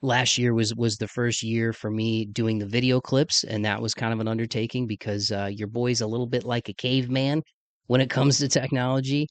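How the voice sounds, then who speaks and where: A man's voice: medium pitch, little pitch movement, brisk, no room sound. A man in his mid-thirties with a congested voice, chatting casually and slangily as the host of a podcast. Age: mid-30s. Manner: laid-back slangy talk, says "man" often. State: congested from sinus trouble, voice deeper than usual.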